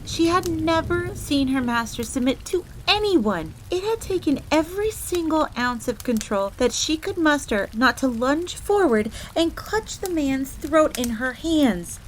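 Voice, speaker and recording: A woman voicing an excitable, devoted fox maid who tells her story theatrically in the third person. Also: sits high, slight background noise, voice pushed up, rough recording. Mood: indignant, aghast. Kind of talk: in-character storytelling audio roleplay. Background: background ambience.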